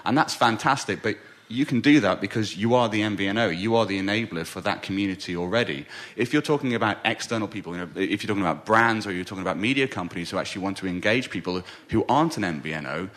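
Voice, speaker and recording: A man on a conference panel explains his point in a quick, earnest rush, restarting phrases as he goes. His voice sits on the low side. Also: expressive, fairly bright tone. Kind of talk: panel discussion.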